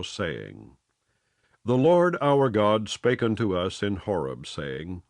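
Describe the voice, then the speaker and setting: A man reads scripture in slow, solemn phrases, each line set apart. His voice sits fairly low but moves widely in pitch. The sound is close and dry, with no room around it. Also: no room sound. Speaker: Bible reader.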